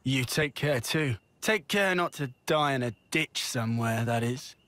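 An actor with a British accent playing a hot-headed, brash young soldier in a video game. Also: male voice, mid-range voice, expressive, measured pace, fairly bright tone, dry recording. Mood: gruff, easygoing.

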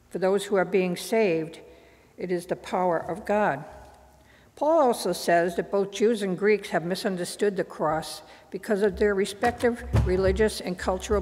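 A woman in her sixties, a lay minister, reading a sermon in a measured, deliberate way at a podium microphone in a church sanctuary. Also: mid-range voice. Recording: reverberant church hall.

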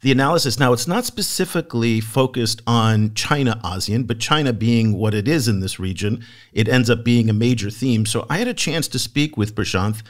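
A man's voice: medium pitch, normal speed. Enthusiastic male podcast host. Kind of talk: scripted intro and interview questions.

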